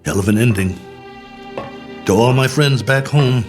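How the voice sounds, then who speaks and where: An actor playing a lonely, wry stranded astronaut colonel, musing aloud in a radio drama monologue. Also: male voice, medium pitch, a little slow, lightly acted. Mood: wistful resignation. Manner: reflective, wry, conversational musing. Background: music.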